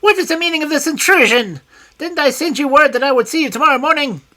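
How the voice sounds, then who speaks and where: A reader voicing a small, frail, petulant older man with a high, shrill, screaming voice and imperious, peevish outbursts. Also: expressive, fast, sharp tone. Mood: indignant.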